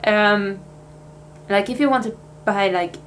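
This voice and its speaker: A woman's voice: medium pitch, slow pace, slight background noise. A young Swedish woman with a Swedish accent, chatting casually in a life update vlog, with plenty of fillers and restarts. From Sweden. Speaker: vlogger.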